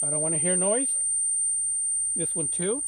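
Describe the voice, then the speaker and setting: Higher-voiced man giving a casual tutorial. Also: lively intonation, a little slow, mellow, no echo. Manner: informal, repeats phrases as he explains. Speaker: radio repair hobbyist demonstrating.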